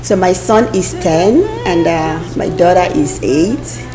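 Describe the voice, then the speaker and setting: A woman in her thirties, an interview guest, speaking in an earnest, measured and reflective way. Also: fairly low pitch, over music, low-quality recording. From Nigeria. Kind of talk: conversational interview.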